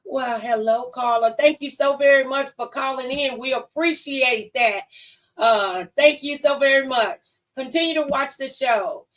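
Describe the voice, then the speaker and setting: A woman's voice: high-pitched, a little slow, a bit bright. A woman hosting a live call-in talk show in a conversational way. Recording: distant from the microphone.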